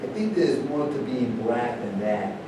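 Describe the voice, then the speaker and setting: A man's voice: very animated, a little slow. A man playing a slighted character who stands up for himself in a stage play reading. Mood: indignant. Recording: distant mic, heavy echo, noisy rumble.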